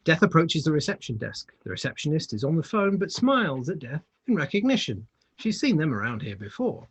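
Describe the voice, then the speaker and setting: A man with a husky voice narrating a screenplay in a dramatic read-through. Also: on the high side, sing-song, a little fast. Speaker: script reader.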